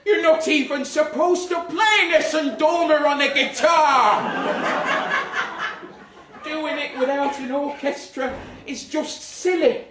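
A man's voice: extremely high pitch, takes it slowly, a crowd in the background. A man performs a comic short story live in a pub, narrating with sardonic, indignant theatricality. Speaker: story reader. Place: live reading event in a pub. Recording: echoey, noisy pub room, distant mic.

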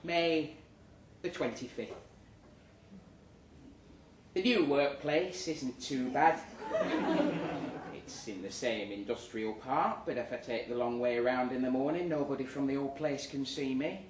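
A man giving a theatrical, expressive reading of a comic short story live in a pub room. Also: medium pitch, measured pace, long pauses. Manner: theatrical, expressive, acting out the dialogue. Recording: roomy, distant live recording with noise.

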